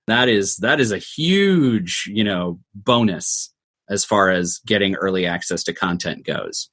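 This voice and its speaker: A man's voice: deep voice, lively intonation, unhurried, fairly bright tone, husky. A man giving thoughtful, conversational interview answers, explaining things step by step. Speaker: interview guest, audio drama producer.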